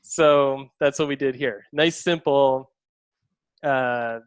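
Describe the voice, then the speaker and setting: A man's voice: medium pitch, takes it slowly, clear, resonant tone, dry recording. A man, the director, walking through how shots were made, explaining choices with enthusiasm and many fillers. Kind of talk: behind-the-scenes commentary.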